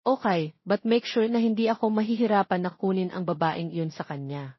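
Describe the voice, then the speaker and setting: A woman's voice: medium pitch, steady tone, normal speed, breathy edge, no room sound, muffled recording, lightly acted. A woman voicing a cold, possessive young male billionaire boss in an audio drama, commanding and mixing English with Tagalog.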